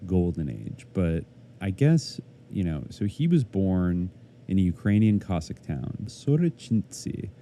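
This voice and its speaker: A man's voice: deep voice, sing-song, measured pace, mellow, a little hiss or hum. A man co-hosting a podcast, chatting casually and rambling with lots of "like" and "I guess". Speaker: podcast co-host. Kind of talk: casual chat.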